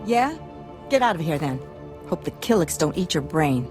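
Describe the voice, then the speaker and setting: A tough military commander in a game cutscene. Her voice is notably deep for a woman, clipped and sardonic. Music is clearly heard behind her. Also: big pitch swings, moderate pace. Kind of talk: video game cutscene acting.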